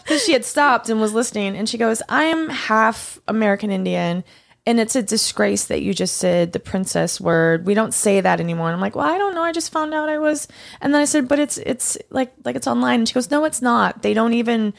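A woman's voice: on the high side, a little fast, gravelly. A woman from East Texas chatting casually on a podcast, telling a rambling story with lots of restarts. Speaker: guest co-host.

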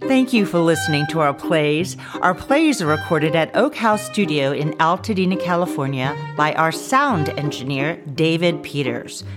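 Female podcast announcer, low register, scripted sign-off read over a violin music bed. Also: expressive, normal speed, few pauses. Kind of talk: scripted outro narration.

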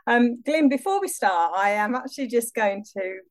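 A woman's voice: a little high, animated, normal speed. A woman co-hosting a podcast in a casual, chatty and effusive style with emphatic wording. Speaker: podcast co-host. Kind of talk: casual chat.

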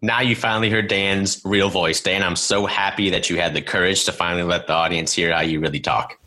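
A man's voice: low-pitched, fairly even intonation, brisk, sharp tone. A man co-hosting a podcast, chatting casually and joking with his friend, swearing now and then. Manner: joking, swears, self-deprecating. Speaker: podcast co-host. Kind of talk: casual banter.

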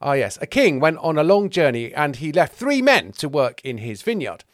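A man acting sardonic lead disciple, bluff, bumbling, exasperated, lively intonation, dry close recording. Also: on the high side, normal speed, no echo, voice pushed up. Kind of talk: comic scripture parody reading.

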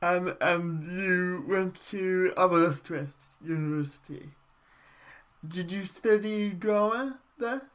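A male interviewer with a fairly high voice who speaks slowly, asking his guest questions in a gentle, encouraging way. He draws his questions out and gives the guest plenty of room to answer. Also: smooth. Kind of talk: casual interview.

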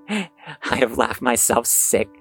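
A man's voice: medium pitch, expressive, drawn-out words, creaky, fairly bright tone, husky, faint music behind, full character voice. A man playing an anxious, self-critical young heir, delivering a wordy, introspective monologue in an audio drama. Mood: bitter.

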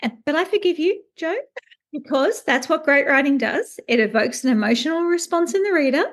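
A woman hosting a book interview, speaking in an effusive, expressive way. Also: high-pitched, a little fast. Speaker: interview host.

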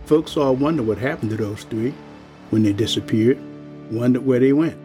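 A man's voice: medium pitch, moderate pace, dark tone, soft background music, close to an everyday voice. An actor playing a world-weary old working-class man in an audio drama, speaking in a folksy, plain-spoken, ominous way. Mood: ominous warning.

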